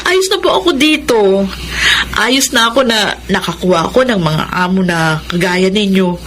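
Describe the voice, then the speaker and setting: A woman's voice: medium pitch, expressive, moderate pace, fairly bright tone, a little hiss or hum, close to an everyday voice. A woman playing a humble, polite thirty-year-old housemaid with a meek Filipino voice in a radio drama. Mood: reluctant, grateful. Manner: meek, deferential.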